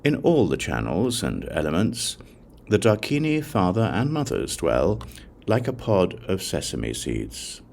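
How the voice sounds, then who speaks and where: A man narrating a Buddhist scripture as an audiobook, solemn and deliberate, in short measured phrases. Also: low voice, wildly swooping pitch, gravelly, darkish tone, a little hiss or hum.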